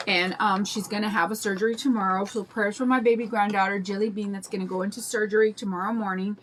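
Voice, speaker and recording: An older woman with a raspy voice and a drawl, chatting in a rambling way and greeting viewers while she demonstrates a craft on a livestream. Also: mid-range voice, fairly even intonation, quick pace, slight background noise, rough recording. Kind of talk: casual chat during a craft demo.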